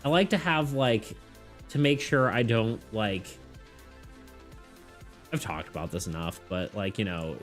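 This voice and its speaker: A man's voice: fairly low pitch, a little slow, long pauses. A man chatting casually with his viewers on a live stream, rambling, restarting his sentences and laughing often. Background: faint background music.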